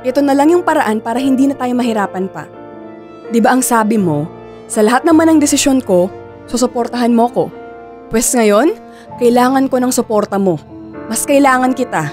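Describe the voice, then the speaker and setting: A woman's voice: fairly high pitch, animated, normal speed, long pauses, faint music behind, lightly acted. A woman with a Filipino accent playing a desperate, stubborn young woman in a radio drama. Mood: pleading. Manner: insistent, pleading, defensive.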